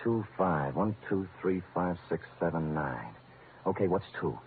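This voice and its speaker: A man's voice: low voice, lively intonation, unhurried, slight background noise. An actor playing a hard-boiled American private detective in vintage radio noir style, with a wry, clipped tough-guy delivery. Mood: concentrated. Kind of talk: 1940s-50s radio noir acting and first-person narration. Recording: narrow-band vintage radio recording, faint music.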